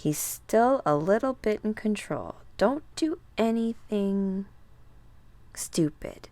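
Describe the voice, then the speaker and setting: A woman giving a dramatic, theatrical read-aloud of a comic book, acting out the characters slowly and deliberately. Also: mid-range voice, lively intonation, darkish tone, no room sound. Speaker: comic book reader performing all characters.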